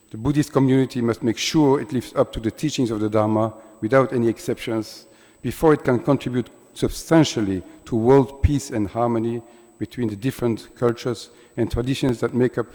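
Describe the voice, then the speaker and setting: A man with a mid-range voice reads a prepared speech in a measured, formal way. His words ring out with a long reverb through a large conference hall. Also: mid-range voice, a little mellow. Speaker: conference speaker.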